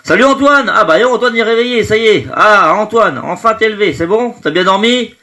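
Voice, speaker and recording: Bright stuffy-nosed guy on a home live stream, chatty, says hi to everyone, bit high voice. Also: lively intonation, fairly quick. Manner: chatty, greets viewers by name, trails off with fillers. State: stuffy from hay fever. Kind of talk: casual live stream chat greeting viewers.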